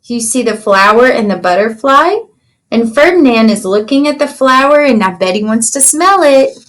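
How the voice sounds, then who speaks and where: A woman's voice: fairly high pitch, expressive, normal speed, a bit bright. A woman reading a children's storybook aloud like a teacher, explaining things simply and checking in with young listeners.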